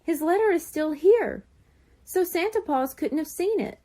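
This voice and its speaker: A woman's voice: very high-pitched, expressive, a little slow, mellow, voice pushed up. A woman voicing a sensitive young mer-kitten girl, an earnest and plaintive big sister in a storybook. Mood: puzzled.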